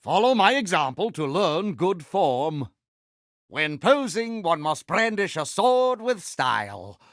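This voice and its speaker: Vain pirate villain, British accent, highish swooping pitch, grandiose, mannered, theatrical game voice. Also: male voice, big pitch swings, slow, fairly bright tone, no room sound, clean studio sound, heavily acted. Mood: haughty, instructive. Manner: pompous, grandiose, mannered formal speech. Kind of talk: theatrical video game character voice acting.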